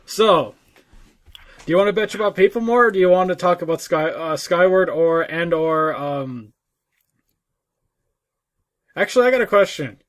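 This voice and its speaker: A man with a noticeably high voice talks as co-host of a gaming discussion. His delivery is informal and conversational, circling back over his own phrases as he argues. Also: animated, normal speed, long pauses. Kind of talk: casual chat.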